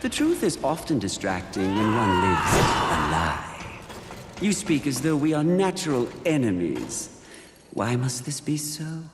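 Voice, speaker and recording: An actor playing a suave, seductive demon of sin in a video game, with silky, theatrical, taunting delivery. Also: male voice, mid-range voice, sing-song, unhurried. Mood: taunting, probing. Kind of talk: video game cutscene acting. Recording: echoey hall reverb, faint noise.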